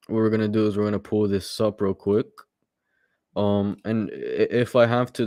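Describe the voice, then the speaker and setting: A man with a low voice hosts a casual live stream. He has a minor stutter and often repeats words and pauses on fillers. The sound is dry and close, with no room echo. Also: darkish tone. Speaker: stream host. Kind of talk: casual livestream commentary.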